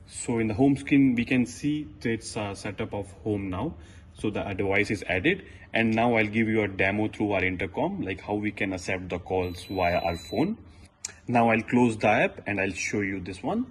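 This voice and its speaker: A man's voice: lowish voice, normal speed. Man giving tech tutorial, noisy background. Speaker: technician presenting a tutorial. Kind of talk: step-by-step how-to demonstration.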